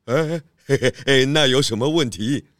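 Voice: medium pitch, normal speed, a bit bright, no echo, clean studio sound, close to an everyday voice. An actor voicing a genial, curious male pig detective in a children's audio detective drama, with soothing, chuckling little interjections. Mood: easygoing, polite.

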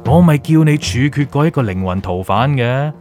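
An actor playing a gloating, manipulative villain in an audio drama, with a Hong Kong Cantonese accent and taunting, drawn-out delivery. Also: male voice, medium pitch, lively intonation, a little mellow, faint music behind, lightly acted. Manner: theatrical, taunting, drawn-out emphasis, drops in English words. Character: gloating, manipulative villainous male official, mocking.

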